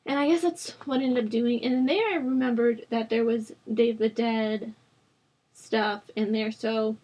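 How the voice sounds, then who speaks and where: A young woman host rambling casually over a game build, her voice rough from a sore throat, with lots of fillers. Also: fairly high pitch, fairly even intonation, normal speed, a little mellow. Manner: rambling, chatty, with frequent fillers. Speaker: Sims builder channel host. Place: at home.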